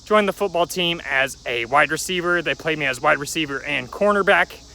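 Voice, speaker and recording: Gravelly young man vlogging outdoors. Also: on the high side, expressive, brisk, pushed and bright. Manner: rambling, chatty, joking aside at passing trains. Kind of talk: casual storytelling vlog. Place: outdoors near a railway.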